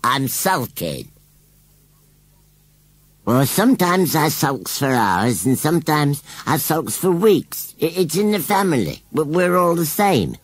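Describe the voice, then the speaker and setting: Grumbling, drawling rustic scarecrow. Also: male voice, on the high side, sing-song, slightly rough, no echo, pitched above the performer's own voice, exaggerated voice. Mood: sulky. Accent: rural English. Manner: grumbling, drawn-out, indignant rustic speech. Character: sulky, proud old rustic male scarecrow.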